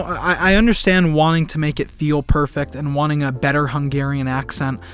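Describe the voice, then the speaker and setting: An earnest young man talks through a film review in a casual, rambling way. He stumbles over his words and restarts his sentences. His voice sits a little high for a man, and faint music plays underneath. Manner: earnest and enthusiastic.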